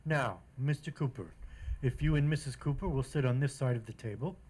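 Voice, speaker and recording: A man's voice: mid-range voice, brisk, darkish tone, slightly rough, lightly acted. Man acting a pompous, officious judge. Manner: pompous, formal. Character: pompous, platitude-spouting domestic court judge. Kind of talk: old-time radio comedy acting.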